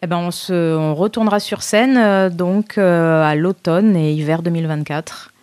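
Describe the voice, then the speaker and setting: A woman who is a band's singer and lyricist, soft-spoken in a casual interview. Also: lowish voice, moderate pace, clear, resonant tone, dry recording. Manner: soft-spoken, laughs easily.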